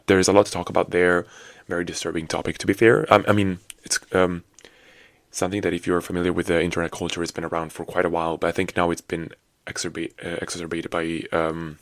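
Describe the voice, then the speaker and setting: A man in his twenties with a low, hoarse, raspy morning voice, chatting casually and rambling with sassy asides as a solo podcast host. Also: no room sound. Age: 20s. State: hoarse after a night out.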